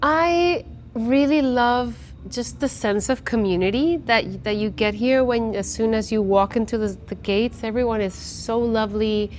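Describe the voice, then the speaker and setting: A woman's voice: fairly high pitch, measured pace, slight background noise. A woman in her forties from Guatemala, a musician answering interview questions in a casual, chatty way, often restarting her sentences. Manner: chatty with many restarts and fillers. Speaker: musician guest. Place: outdoors at a festival.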